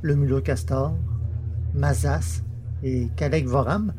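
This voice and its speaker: A man's voice: medium pitch, steady tone, slow, noisy background. A man narrating a scripted science fiction audio drama serial.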